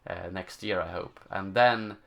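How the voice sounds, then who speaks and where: A young man with a Swedish accent, speaking English as his second language while he reads out and answers viewer questions. His voice sits somewhat low, and he talks in a loose, halting way, filling gaps and hunting for the right word. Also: gravelly, a bit bright. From Sweden. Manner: halting, searching for words, many fillers. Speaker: gaming channel host. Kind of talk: live stream Q&A, casual chat.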